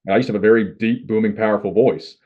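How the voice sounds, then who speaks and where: A man hosting an interview, quickly summarizing what the guest said and prompting him with follow-up questions. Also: fairly low pitch, fairly even intonation. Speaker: host. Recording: dull lo-fi audio.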